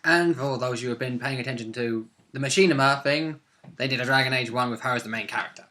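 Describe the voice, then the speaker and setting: A man's voice: mid-range voice, quick pace, sharp tone. A man chatting casually as a co-presenter and player alongside a host. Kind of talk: casual chat.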